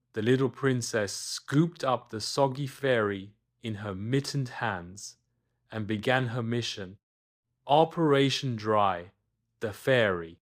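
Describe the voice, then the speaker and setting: A man narrates a scripted bedtime story for children. His voice sits at a middle pitch, and he takes the telling at a slow pace.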